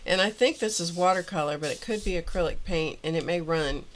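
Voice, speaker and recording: A woman's voice: on the low side, fairly quick, slight background noise, rough recording. A woman hosting a casual art livestream, a little congested and chatting aloud as she works in her studio. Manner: chatty, thinking aloud while working. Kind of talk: casual craft livestream chat.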